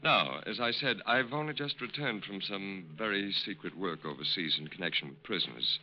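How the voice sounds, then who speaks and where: A man's voice: low-pitched, normal speed, close to an everyday voice. An actor in a 1940s radio drama playing a charming con man who poses as a courtly army major. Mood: ingratiating. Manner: courtly, ingratiating, hesitant politeness. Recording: dull, noisy old radio recording.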